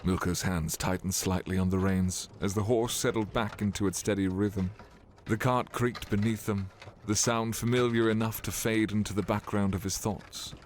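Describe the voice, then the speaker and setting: A man's voice: fairly low pitch, fairly even intonation, normal speed, slight background noise. A man reading scripted narration for a fantasy audio drama as its storyteller.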